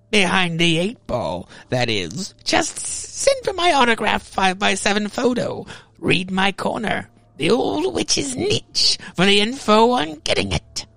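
A gleefully ghoulish old witch played by a man hosts a horror radio play. The voice is pitched high and cackling, drawing out each teasing line with theatrical relish. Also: creaky, a bit bright, no echo, full character voice. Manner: teasing, theatrical, drawn-out delivery.

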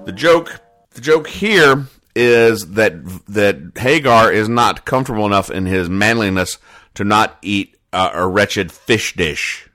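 Wry man with a lowish voice. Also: a little slow, bright, forceful tone. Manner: mock-earnest, wry explaining of jokes. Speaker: podcast host. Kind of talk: casual comedic retelling of newspaper comics.

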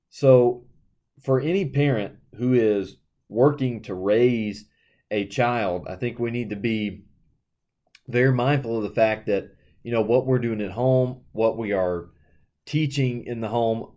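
A man with a fairly low voice discusses scripture in a relaxed podcast conversation. He is earnest and explanatory, drawing lessons for parents from the text and circling back to restate his points. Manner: earnest, explanatory, with frequent "you know" and restarts. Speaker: youth minister, co-host of a Bible study podcast. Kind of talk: conversational Bible study discussion.

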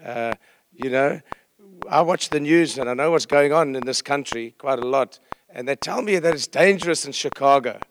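Middle-aged man, South African accent, guest preacher, self-deprecating humor, conversational sermon, average pitch, noticeable background noise. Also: normal speed. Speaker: visiting pastor, guest speaker. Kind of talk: informal sermon to a congregation. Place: church service, speaking to congregation.

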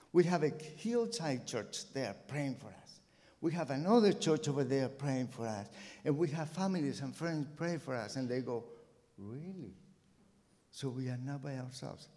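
Colombian man preaching emphatically, mellow voice, big hall. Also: on the high side. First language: Spanish. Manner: emphatic, repeats phrases for effect. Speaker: missionary preacher. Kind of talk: sermon to a church congregation. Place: church hall with long reverb. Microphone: microphone. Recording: reverberant hall.

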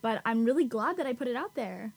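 A woman's voice: on the high side, expressive, fast talker, vocal fry, darkish tone, breathy edge. A young woman from Los Angeles in her twenties, a graduate student guest answering thoughtfully with some "um"s. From Los Angeles, USA. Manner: thoughtful, hesitant with fillers. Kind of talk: interview answers.

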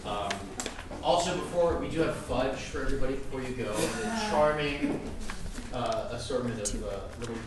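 A man's voice: medium pitch, very animated, normal speed, a loud crowd. A man hosts a live event with informal stage announcements. He rambles wryly in a mid-range voice. The recording is distant, very echoey and noisy. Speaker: host of live holiday play reading.

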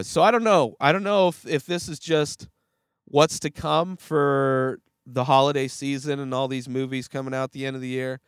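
A man's voice: medium pitch, clean tone, no echo, clean studio sound. A man hosting his own movie review podcast, talking casually with a stuffy, nasal voice from a cold, rambling and swearing with lots of fillers and restarts.

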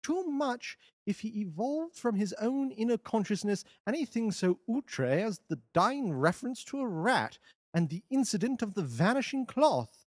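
A man reading an audiobook, voicing a calm, brilliant detective who talks in a measured, lofty, amused way. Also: sits high, no room sound, studio quality, voice pushed up. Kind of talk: audiobook character voice.

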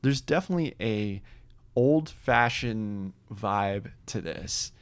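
A man's voice: on the low side, lively intonation, slow pace, clear, resonant tone, no room sound. A man co-hosting a podcast, reviewing an album in casual chat and repeating words for emphasis.

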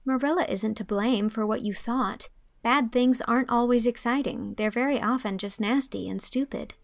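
A woman voicing an earnest, imaginative young woman who gently scolds a child. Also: a little high, normal speed, no room sound, clean studio sound, natural voice.

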